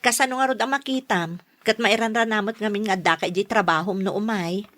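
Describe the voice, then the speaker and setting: An actress in an Ilocano radio drama playing a skeptical, scolding elder sister with a chiding, matter-of-fact manner. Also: female voice, mid-range voice, fast, fairly bright tone, close to an everyday voice. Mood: teasing.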